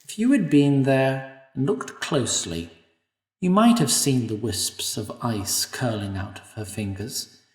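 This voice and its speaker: A man narrating an audio drama in an expressive storytelling manner. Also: medium pitch, unhurried. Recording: echoey room, distant mic.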